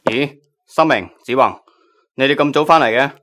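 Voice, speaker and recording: Man acting brisk magazine chief editor. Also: mid-range voice, fairly bright tone, natural voice. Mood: businesslike. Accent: Hong Kong Cantonese. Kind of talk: Cantonese online radio drama acting.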